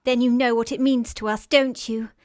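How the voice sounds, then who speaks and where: A woman's voice: fairly high pitch, fairly even intonation, normal speed, dry recording, clean studio sound, lightly acted. A woman with a British accent playing a sweet young Victorian girl in love in a radio farce, imploring and effusive. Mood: pleading. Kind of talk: Victorian stage farce, radio play acting.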